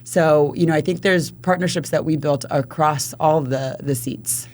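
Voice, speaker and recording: A woman's voice: low-pitched, normal speed, a little mellow. A woman city council member in a casual panel conversation, explaining her view and thinking aloud with frequent fillers.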